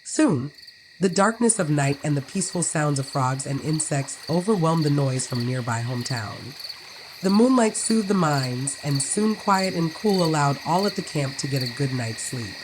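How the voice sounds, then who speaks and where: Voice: mid-range voice, lively intonation, normal speed, noisy background. A narrator of ambiguous sex reading a scripted dramatic story. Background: music.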